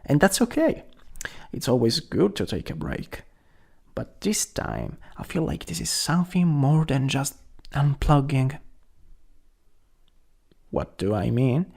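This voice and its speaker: A young man acting a caring, worried best friend in an ASMR roleplay, gentle, hesitant and intimate. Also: medium pitch, big pitch swings, slow, gravelly, long pauses, exaggerated voice.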